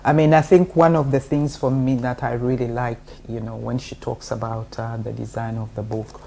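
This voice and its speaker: A woman from Uganda, a guest explaining her book in a measured way with many fillers. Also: a bass voice, steady tone, gravelly, dark tone, slight background noise. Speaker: guest, co-author. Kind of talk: interview answers.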